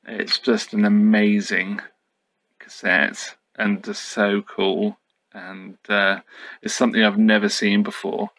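A man's voice: fairly low pitch, flat delivery, slow pace, fairly bright tone, poor audio. A middle-aged man giving a casual, unscripted show-and-tell, musing hesitantly as he goes. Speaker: YouTube host. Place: indoors at home.

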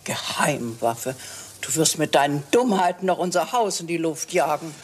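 An actress playing a fussy, nervous elderly aunt who scolds and frets in a German radio crime play. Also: female voice, low-pitched, lively intonation, normal speed, husky. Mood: scolding. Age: older. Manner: fretful, reproachful.

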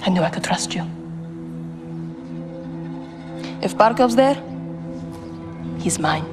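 A woman's voice: medium pitch, lively intonation, normal speed, over music, close to an everyday voice. An actress playing a fierce young female rebel commander, firm and solemn, in game drama. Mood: guarded, resolute. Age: young adult. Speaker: actor in a military game cutscene. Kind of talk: cinematic dramatic game dialogue.

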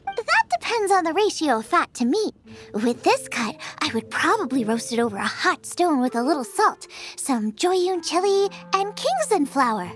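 A woman's voice: extremely high pitch, very animated, normal speed. A woman voicing a spirited, confident young girl chef in a video game, speaking in an emphatic, bubbly way over music. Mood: confident, explaining. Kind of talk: acted video game dialogue. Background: game background music.

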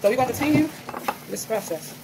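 A woman's voice: on the high side, lively intonation, fast talker, creaky. A young woman chatting casually to her viewers while cooking in her home kitchen, calling them y'all. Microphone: phone camera on the counter. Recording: noisy phone recording with kitchen clatter.

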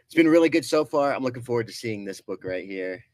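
A man's voice: mid-range voice, expressive, quick pace, no room sound. A man co-hosting a comic shop show, walking through new comic releases with enthusiasm. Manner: enthusiastic, recommends books one by one. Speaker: co-host presenting new comics. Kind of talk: casual chat. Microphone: desk mic.

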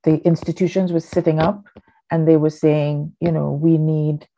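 Low, gravelly woman on a dull video call. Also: level tone, moderate pace, warm tone. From Trinidad. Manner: chatty, rambling, with many fillers and restarts. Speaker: filmmaker giving a workshop. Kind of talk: casual talk on a video call. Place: living room at home. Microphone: laptop webcam on a video call.

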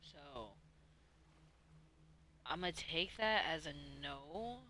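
A woman's voice: deep voice, expressive, extremely slow, pushed and bright, slight background noise. A woman streaming a game and chatting casually, hesitant and rambling, with free swearing. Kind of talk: casual livestream chat while playing.

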